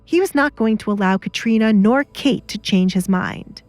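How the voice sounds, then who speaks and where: Female narrator for scripted audio fiction, medium pitch, very dry studio sound. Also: normal speed, a little mellow, dry recording, faint music behind.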